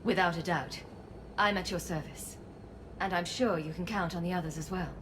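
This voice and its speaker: A woman playing an earnest, composed scientist in a sci-fi video game, speaking in a measured, articulate way. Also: lowish voice, steady tone, background noise, lightly acted. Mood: grateful. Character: earnest, composed adult woman scientist, former research physicist.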